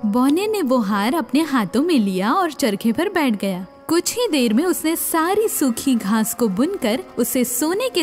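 Casting: female storyteller, fairly high pitch, scripted children's fairy-tale narration over quiet music.

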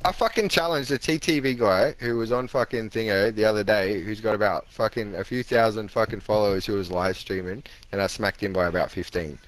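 A man's voice: lowish voice, a little fast, few pauses, poor audio. A man in heated voice chat, aggrieved and swearing as he repeats himself over a headset. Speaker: stranger in game party chat.

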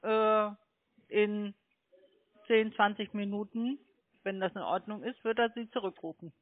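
A woman's voice: mid-range voice, fairly even intonation, a little slow. A woman making a sales call as a telemarketer over the phone. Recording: tinny narrow phone line.

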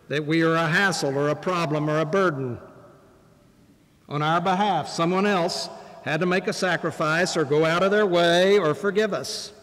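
Older guy bishop preaching real slow and deliberate, kinda high voice, big echoey church. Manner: measured, deliberate preaching with pauses. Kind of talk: sermon. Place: large church sanctuary, at a wooden pulpit. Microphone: pulpit microphone, far camera. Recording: long church reverb.

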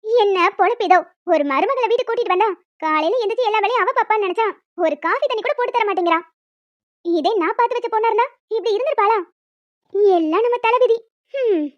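Woman as grumbling, shrill-pitched older mother-in-law. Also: fast talker, highs cut off. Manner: complaining, scolding. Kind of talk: cartoon family drama dialogue.

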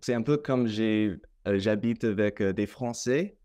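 A young Canadian man, a native English speaker, talking in careful learned French on a video call, with many hesitant pauses. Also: on the low side, a little mellow. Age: young adult. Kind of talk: casual conversation in learned French. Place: small room at home. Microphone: laptop webcam mic on video call.

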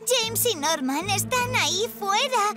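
A woman's voice: very high voice, lively intonation, slow, full character voice. A woman voicing an eager little girl playing pirate in a children's cartoon dub. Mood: concerned. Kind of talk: animated children's series dub. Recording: loud children's music under the dialogue.

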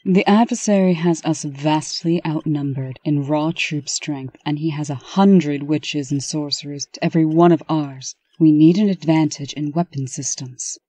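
A woman voicing a reserved, authoritative fairy-tale princess in a comic dub, with measured, formal delivery. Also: low voice, fairly even intonation, mellow, no room sound. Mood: businesslike.

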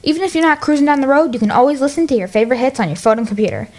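American woman radio host, kinda high voice, reading scripted on-air bits. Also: lively intonation, very fast, darkish tone. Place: radio studio.

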